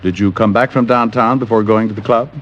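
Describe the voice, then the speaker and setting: An actor playing a smooth, wisecracking private detective in 1940s radio drama, questioning in a dry, teasing, unhurried way. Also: male voice, lowish voice, steady tone, natural voice. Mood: probing. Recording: old muffled radio recording, music behind.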